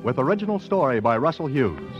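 Animated American vintage radio announcer, a man. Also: mid-range voice, fast. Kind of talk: 1940s radio announcing, scripted. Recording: muffled old radio recording with music.

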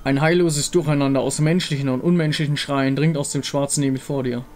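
A man's voice: mid-range voice, fairly even intonation, fairly quick, darkish tone. A man reading scripted story narration from a game as its narrator. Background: music.

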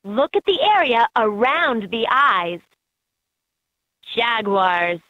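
A woman's voice: fairly high pitch, big pitch swings, slow, bright, forceful tone, no room sound, full character voice. A woman reading scripted narration as the guide in a children's learning game, recorded as lo-fi toy audio. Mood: instructive.

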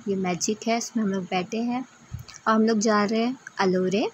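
A woman's voice: mid-range voice, steady tone, moderate pace, muffled recording, a little hiss or hum. A woman narrating a casual travel vlog in a chatty way, speaking directly to her viewers. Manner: chatty, addresses viewers as friends. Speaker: travel vlogger.

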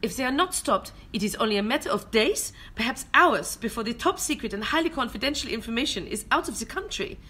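A woman's voice: fairly high pitch, lively intonation, a little fast, few pauses, bright, forceful tone, slightly rough, full character voice. A woman playing a grave, melodramatic foreign secret agent who slips into German exclamations in an amateur radio play. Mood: grave, insistent. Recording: homemade recording with noticeable noise.

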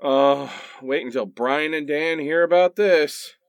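A man's voice: a little high, slow, smooth, dry recording, highs cut off. An actor playing a defensive, exasperated husband with a whiny manner, bickering with his wife in a radio play. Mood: annoyed.

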